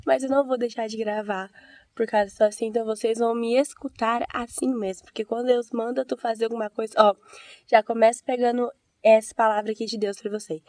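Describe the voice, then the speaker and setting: A hoarse woman with a Brazilian accent who has a cold, telling her story in an earnest, chatty vlog as a church-minded host. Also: on the high side, little pitch movement, a little fast, darkish tone, dry recording. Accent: Brazilian Portuguese. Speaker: evangelical video host. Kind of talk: casual testimony vlog.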